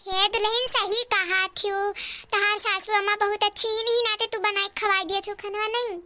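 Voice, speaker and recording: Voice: very high voice, little pitch movement, moderate pace, sharp tone, heavily acted. A performer voicing a quarrelsome village aunt in a cartoon, with a Bhojpuri accent and a nagging, confrontational manner. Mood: needling. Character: quarrelsome, accusing village aunt, younger sister-in-law. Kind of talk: cartoon character dialogue.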